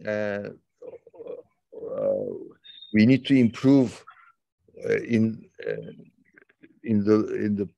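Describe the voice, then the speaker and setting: A man from Turkey hosting a conversation over a video call, talking slowly with many fillers and restarts. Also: fairly low pitch, wildly swooping pitch, vocal fry, mellow, dry recording, dull audio. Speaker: interview host. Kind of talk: casual conversation.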